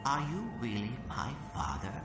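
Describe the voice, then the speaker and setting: A man's voice: fairly low pitch, wildly swooping pitch, moderate pace. An actor voicing a courteous, formal male robot who speaks in earnest, with game music behind.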